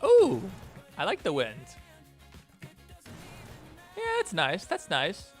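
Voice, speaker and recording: A man with a New York accent chatting casually as he works on animation, animated, joking and prone to swearing. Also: fairly high pitch, slow pace, faint music behind. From Queens, New York. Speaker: streamer and animator. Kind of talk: casual reacting and chat while working.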